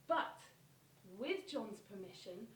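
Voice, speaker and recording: Woman as self-assured psychic, high and echoey. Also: animated, takes it slowly. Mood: self-assured, promotional. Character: self-assured celebrity psychic father, earnest football supporter. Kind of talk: theatrical monologue of quoted statement. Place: theatre space, recorded from afar. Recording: noisy, echoey, distant microphone.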